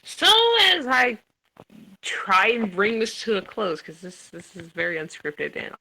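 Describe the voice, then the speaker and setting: A young woman hosting a casual podcast while gaming, with a loud voice, playful swearing and trash talk. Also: medium pitch, lively intonation, unhurried, gravelly, low-quality recording. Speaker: podcast host.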